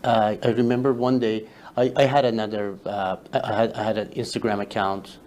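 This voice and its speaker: A man whose first language is Farsi, a guest telling his story in a casual interview, halting with many fillers and restarts. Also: fairly low pitch, little pitch movement, a little mellow. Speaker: interview guest, social media creator.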